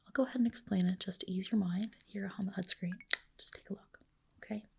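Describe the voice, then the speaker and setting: A woman whispering gently and reassuringly in a breathy voice, playing a spa clinician in an ASMR roleplay. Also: mid-range voice, steady tone, fast, noisy background.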